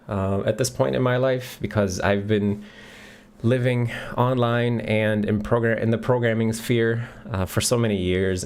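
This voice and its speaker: Lower-voiced Swedish man, relaxed live Q&A. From Sweden. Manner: relaxed, thinks aloud with many ums, reads chat questions out. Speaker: livestream host answering chat questions. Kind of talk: casual live Q&A. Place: home office, indoors. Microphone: studio mic on a boom near the face.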